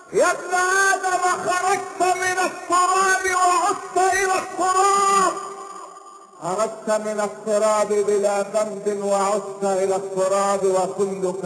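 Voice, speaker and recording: A man preaching an impassioned Islamic sermon, dramatic and declamatory, swelling from hushed phrases to loud cries, with rhetorical questions and chanted recitation. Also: very high voice. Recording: echoing, noisy sermon recording.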